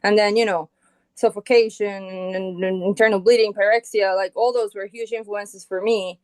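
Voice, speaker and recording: Relaxed Colombian-born woman, dry close sound. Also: medium pitch, moderate pace, no room sound, poor audio. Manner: relaxed, thinks aloud with many fillers and restarts. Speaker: guitarist and founding band member, guest. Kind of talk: casual interview conversation.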